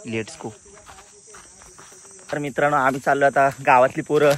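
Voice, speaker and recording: A man's voice: mid-range voice, steady tone, normal speed, a bit bright. A young man from Maharashtra, chatting casually as a vlogger in a playful, teasing way, tossing in slangy English catchphrases. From Maharashtra, India. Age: young adult. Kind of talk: casual vlog chat.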